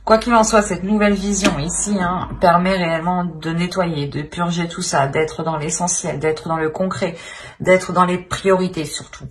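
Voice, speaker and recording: A woman's voice: lowish voice, steady tone, normal speed, a little hiss or hum. A woman giving an improvised tarot reading to viewers, speaking hesitantly and often repeating and rephrasing as she searches for words. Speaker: tarot reader, channel host.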